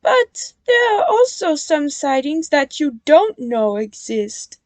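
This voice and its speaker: A clever female engine who speaks in a knowing, teasing way, put on by a woman reading a storybook. Her voice sits high and moves a lot. The sound is dry and close. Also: animated, measured pace, no echo.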